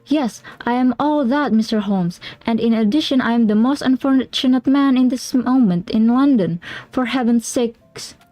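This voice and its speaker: A woman's voice: a little high, moderate pace, warm tone, dry recording, natural voice. A woman voicing a frantic, pleading young male solicitor who talks in a rush and sounds breathless. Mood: desperate.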